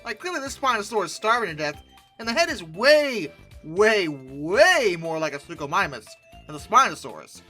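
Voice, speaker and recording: A woman's voice: mid-range voice, normal speed, soft background music. A young woman hosting a comedic commentary, sarcastic and exasperated. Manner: sarcastic, exasperated, lively outbursts. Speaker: YouTube host.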